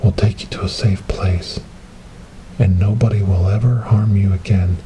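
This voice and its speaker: A man playing a gentle, devout rescuer in an ASMR storytelling roleplay, speaking softly and breathily in a soothing, reassuring way. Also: fairly low pitch, fairly even intonation, moderate pace, background noise, lightly acted. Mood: reassuring, solemn. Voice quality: soft, breathy, whispery. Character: gentle, devout male rescuer coaxing a lost ghost girl.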